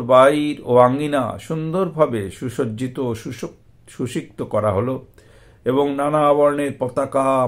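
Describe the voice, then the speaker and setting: A man giving a scripted devotional reading of scripture, with deliberate, measured phrasing broken by short pauses. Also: mid-range voice, creaky. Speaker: scripture reader and presenter.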